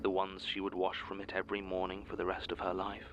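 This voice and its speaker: A man voicing a sinister, solemn otherworldly entity in a gravelly whisper with portentous phrasing. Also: sits low, completely flat delivery, fast, dull audio, lightly acted. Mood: ominous, solemn.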